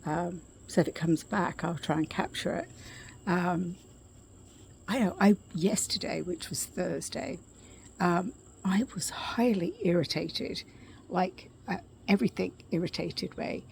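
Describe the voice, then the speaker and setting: A middle-aged woman talks softly into her phone camera, her voice scratchy and a bit gravelly, sitting slightly low. She clears her throat often and fills pauses with ums, unhurried and chatty. Faint outdoor ambience sits under her. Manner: soft-spoken, frequent throat clearing and ums. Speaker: vlogger. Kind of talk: casual spoken update. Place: outdoors at her condo, quiet yard with birds.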